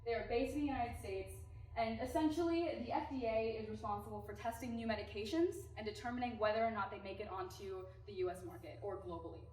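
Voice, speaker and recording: A woman from California gives a prepared pitch presentation. She addresses the audience directly and engagingly. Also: fast, few pauses, darkish tone. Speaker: team presenter. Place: presentation room. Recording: echoey room, mic far off.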